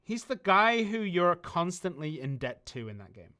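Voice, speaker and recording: A man chatting casually on a game livestream, explaining things loosely with plenty of ums and false starts. His voice is bright and smooth, sounding clear and even. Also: sits high, moderate pace. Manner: relaxed, rambling explanations with many ums and restarts. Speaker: community manager on a game stream. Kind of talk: casual livestream chat answering viewer questions.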